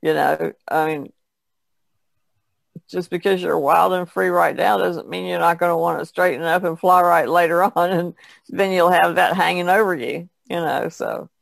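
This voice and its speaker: A woman's voice: on the low side, steady tone, moderate pace, vocal fry, dry recording. A woman chatting casually in a podcast discussion.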